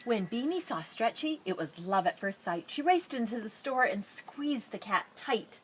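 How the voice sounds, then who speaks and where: A woman reading a children's picture book aloud expressively, with drawn-out dramatic phrasing and playful asides. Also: a little high, animated, slight background noise. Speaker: storybook reader.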